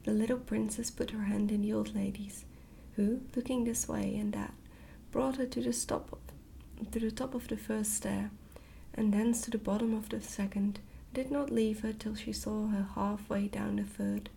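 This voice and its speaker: A woman narrates a storybook in an ASMR style, barely above a whisper. Her voice is dark and soft with noticeable fry, and there is light background noise. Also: medium pitch, steady tone, moderate pace. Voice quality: hushed, near-whisper. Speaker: ASMR reader.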